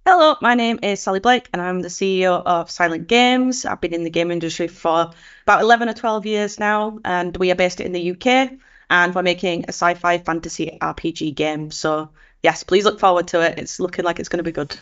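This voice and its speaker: A woman's voice: fairly low pitch, fairly quick, fairly bright tone, smooth. A woman, a company CEO, introducing herself cheerfully on a podcast panel. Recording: dull lo-fi sound.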